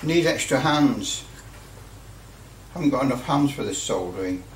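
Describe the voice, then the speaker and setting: A man giving a casual hands-on commentary as a hobbyist, halting and muttering a little to himself as he works. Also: medium pitch, lively intonation. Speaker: hobbyist presenter. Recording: roomy, distant mic with light noise.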